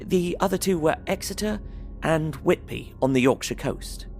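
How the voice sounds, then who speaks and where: An actor playing an earnest, polite young English solicitor, narrating his journal in measured, bookish Victorian phrasing for an audio drama. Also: fairly high pitch, lively intonation, vocal fry, a little mellow, soft background music. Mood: measured, matter-of-fact.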